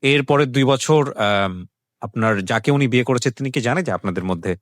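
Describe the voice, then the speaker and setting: A man's voice: mid-range voice, moderate pace, no room sound, clean studio sound. A man with a Bangladeshi accent hosting a radio interview, commenting emphatically, repeating phrases for stress and mixing in English phrases. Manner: mixes English phrases into Bengali. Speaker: radio show host. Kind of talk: conversational interviewing with reflective social commentary. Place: radio studio.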